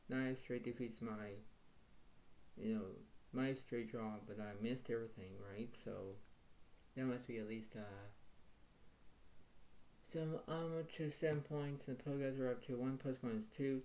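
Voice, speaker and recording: A man with a soft, quiet voice commenting on his own poker hands in a halting way, repeating himself and saying "wow". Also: medium pitch, slight background noise, poor audio. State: slight tickle in throat. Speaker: poker video host. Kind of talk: casual play-by-play commentary.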